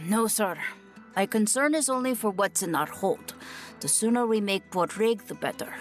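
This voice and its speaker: A woman's voice: mid-range voice, moderate pace, over music, natural voice. A woman playing a dutiful, cautious quartermaster who speaks formally and deferentially in an audio drama. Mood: hesitant, respectful.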